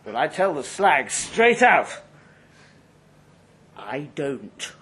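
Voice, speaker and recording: A man's voice: a little high, big pitch swings, drawn-out words, a bit bright, exaggerated voice. A man playing a drunk, crude, boastful banker with a mean streak, rambling and taunting in a live story reading. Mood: crude boasting. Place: live reading at a London venue. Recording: live event recording, faint background noise.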